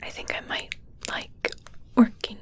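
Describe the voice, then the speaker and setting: A woman playing an evil witch in an ASMR roleplay, speaking in a breathy, deliberate whisper with a smug, softly condescending manner. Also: lightly acted. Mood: amused, teasing. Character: whispering evil witch, smug, coolly teasing, testing potions.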